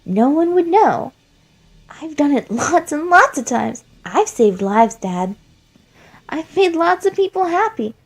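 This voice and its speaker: A woman's voice: high voice, sing-song, measured pace, voice pushed up, exaggerated voice. A woman voicing a boyish talking flower in a fan fiction reading, a cruel son with a taunting, confiding manner. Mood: gleeful, taunting.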